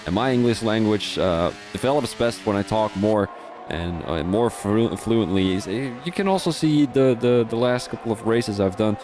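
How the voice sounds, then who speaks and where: Low-voiced Dutch man commentating sim races. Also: moderate pace, music in the background. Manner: chatty and rambling, with frequent restarts and fillers. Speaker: sim racing league commentator. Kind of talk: live race commentary mixed with casual chat.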